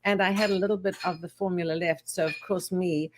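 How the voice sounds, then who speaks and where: An older woman with a South African accent, a perfumer being interviewed on a talk show, telling her stories with animated quoted voices. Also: on the low side, fairly quick, noisy background. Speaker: guest, perfumer.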